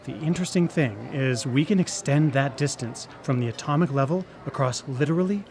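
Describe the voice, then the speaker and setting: An earnest engineer, played by a man in a radio drama, with a mid-pitched voice. He explains patiently and a little hesitantly, with noticeable ambient noise behind him. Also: a little fast, darkish tone, close to an everyday voice. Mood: earnest, explanatory. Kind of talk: live radio drama acting.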